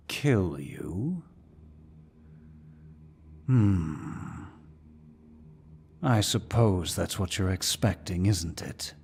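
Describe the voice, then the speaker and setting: A man playing a menacing demon lord in an ASMR fantasy roleplay, with a deep, gravelly voice and a dominant, smug, taunting manner. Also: a little mellow, exaggerated voice. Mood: intrigued, menacing. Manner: dominant, smug, slow and deliberate.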